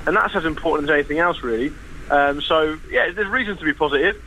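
A man's voice: a little high, fast talker, bright, forceful tone, slight background noise, low-quality recording. A man chatting about football as a podcast guest, wry and self-deprecating, with frequent restarts. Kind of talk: casual podcast chat.

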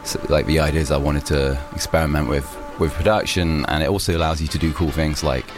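A man's voice: extremely low pitch, fairly even intonation, brisk, music in the background. A young man, a musician, answering interview questions casually, rambling with many restarts and fillers. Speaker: musician guest.

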